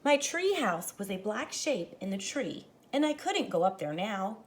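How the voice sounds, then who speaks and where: Woman, teacher, very lively pitch swings, expressive storybook read-aloud, talks directly to children. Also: measured pace. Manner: animated, dramatizes the story lines, addresses children directly. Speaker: teacher reading a picture book aloud to students.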